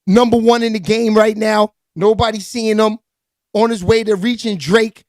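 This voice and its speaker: A raspy-voiced man hosting a live entertainment news stream, giving hyped, slangy hip-hop gossip commentary with lots of shout-outs to viewers. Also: high voice, fairly even intonation, moderate pace, dry recording, clean studio sound.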